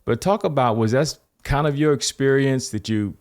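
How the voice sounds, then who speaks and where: A man's voice: medium pitch, moderate pace. A man hosting a podcast interview, rambling through his questions with many restarts and fillers. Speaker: podcast host. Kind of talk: casual interview.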